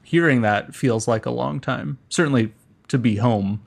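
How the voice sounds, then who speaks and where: Middle-aged man, American accent, casual interview guest, hesitant phrasing, wry delivery, mid-pitched voice. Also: normal speed, creaky. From California, United States. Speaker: interview guest, musician and performer.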